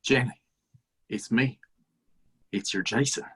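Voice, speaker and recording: A man's voice: medium pitch, slow pace, breathy edge. A man reading a screenplay aloud, voicing the spirit of a dead husband that speaks through a child, eerie and coaxing.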